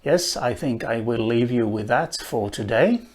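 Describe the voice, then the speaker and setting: Slow, thoughtful man with Swedish roots. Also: mid-range voice, animated, a little mellow. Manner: slow and thoughtful, with many "uh" pauses. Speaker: language teacher. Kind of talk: unhurried spoken lesson on a language.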